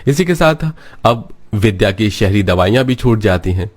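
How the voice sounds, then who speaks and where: कहानी सुनाता पुरुष कथावाचक, कुछ भारी आवाज़. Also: male voice, on the low side, animated, normal speed. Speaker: narrator. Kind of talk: scripted cartoon story narration.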